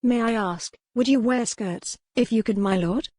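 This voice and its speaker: A woman's voice: a little high, unhurried, a little mellow, no echo, pitched above the performer's own voice. Young noblewoman captive acted by a woman narrator, strong-willed, pert, formal, challenging, historical romance audiobook, dry recording. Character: defiant, sharp-witted young lady captive with indomitable will.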